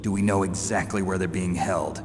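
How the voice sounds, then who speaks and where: An actor playing a stoic, skeptical special-forces infiltrator in a video game, with terse, questioning, deadpan delivery and a gravelly voice. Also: male voice, low voice, normal speed, close to an everyday voice. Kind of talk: dramatic video game dialogue. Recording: long reverb, clear background noise.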